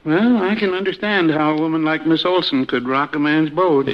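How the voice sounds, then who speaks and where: An actor playing a mild, bashful older small-town shopkeeper in a 1950s radio comedy, dry, folksy and hesitant. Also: male voice, a little high, moderate pace, natural voice. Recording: muffled, noisy 1950s radio recording.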